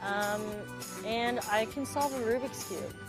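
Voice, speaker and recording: A woman's voice: a little high, measured pace, rough recording. A young American woman in her early twenties, a college athlete talking casually about herself for a profile. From Las Vegas, United States. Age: early 20s. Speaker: college basketball player being profiled. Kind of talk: casual interview talk to camera. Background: music.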